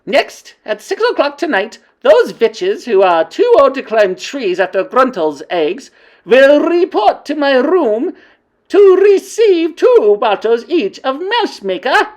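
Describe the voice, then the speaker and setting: Shrill, domineering witch queen for a storybook read-aloud, very high and loud, slow drawn-out commands. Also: sing-song, heavily acted. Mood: commanding. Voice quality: screeching, commanding.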